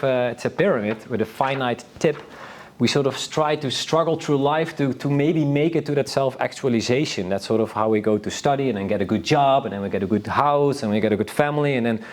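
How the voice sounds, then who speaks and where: A man's voice: medium pitch, darkish tone, slight background noise. Enthusiastic, rambling man from Northern Europe. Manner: rambling, enthusiastic, frequently restarting sentences. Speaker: panelist. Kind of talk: informal conference talk.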